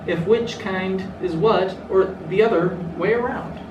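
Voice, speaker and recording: A man's voice: high voice, unhurried, highs cut off. A man voicing boastful, snooty beach creatures in a children's storybook, speaking haughtily in rhyme. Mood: indignant. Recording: roomy, distant mic, clearly noisy.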